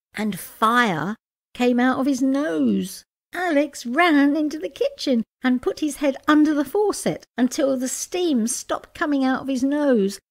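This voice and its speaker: A woman reading a children's story aloud in an expressive, dramatic and playful way. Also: sits high. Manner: expressive, counts out words playfully. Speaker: picture-book reader.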